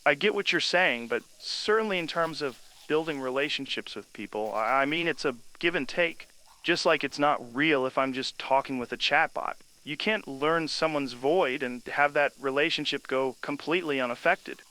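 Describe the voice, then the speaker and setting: A man's voice: fairly high pitch, moderate pace, pushed and bright, low-quality recording. An actor playing an opinionated, casually blunt man in a conversational audio drama. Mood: earnest, blunt.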